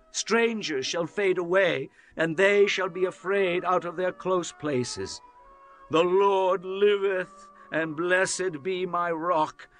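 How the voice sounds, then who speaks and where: A devout warrior king of ancient Israel, acted by a man, declaims scripture in a fairly high male voice. The phrasing is solemn and measured, exalted like a psalmist's. Soft music plays beneath the dramatized reading. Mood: exultant praise. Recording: music under the voice.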